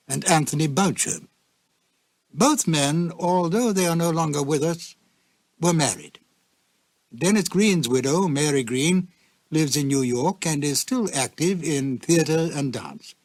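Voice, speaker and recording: Male presenter, slightly high voice, scripted introductions, dry studio sound with faint music. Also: no echo. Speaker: host.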